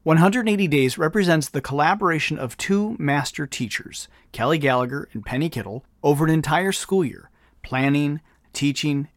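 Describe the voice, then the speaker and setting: A man's voice: fairly high pitch, no room sound, clean studio sound. A man reading a scripted podcast introduction as its host.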